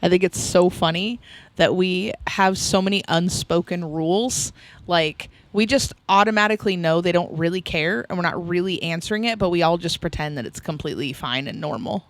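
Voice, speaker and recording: A woman's voice: lowish voice, normal speed, no echo. A woman in her forties co-hosting a podcast, easygoing and self-deprecating, laughing often. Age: 40s. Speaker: podcast co-host. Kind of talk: casual chat.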